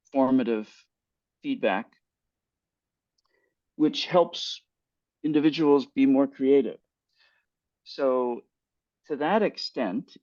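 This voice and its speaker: An older man on a headset video call, answering a webinar question in a thoughtful, measured way with frequent restarts. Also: medium pitch, darkish tone, dry recording. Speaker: guest speaker. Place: home room with virtual background. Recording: dull, dry video call audio.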